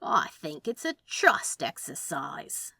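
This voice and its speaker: A woman acts out a laid-back male demon from a storybook. He reasons aloud slowly and casually, with a sceptical streak. The intonation swings widely, and the sound is dry and close. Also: sits low, wildly swooping pitch, vocal fry, pushed and bright, breathy edge, no echo, heavily acted. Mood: confident.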